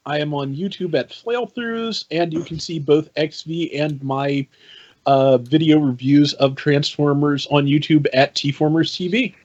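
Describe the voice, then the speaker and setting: A man's voice: on the high side, unhurried, a little mellow. A man on a podcast panel talking casually over a dull lo-fi connection. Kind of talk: casual chat.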